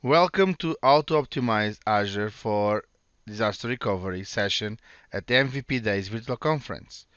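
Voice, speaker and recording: A man from Portugal with a Portuguese accent, giving a recorded technical conference talk over slides, earnest and halting with restarts. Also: fairly low pitch, fairly bright tone, smooth, dry recording, highs cut off. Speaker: solution architect presenting.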